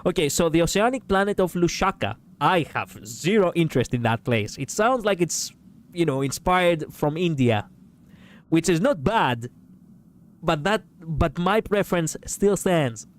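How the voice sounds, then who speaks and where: A man with a raspy voice streaming a game, chatting casually in a rambling way, laughing often and swearing. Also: a little high, animated, unhurried. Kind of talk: casual gaming stream chat.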